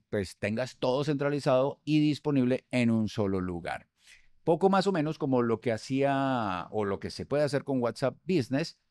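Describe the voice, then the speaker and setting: A man in his forties explains a software tool to restaurant owners in an upbeat, chatty way, pausing now and then on small hesitations. His voice sits at a middle pitch. It is captured close on a studio boom mic with a dry, deadened sound. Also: dry recording. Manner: upbeat, conversational, with filler hesitations. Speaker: host. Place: studio room. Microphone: studio mic on boom arm.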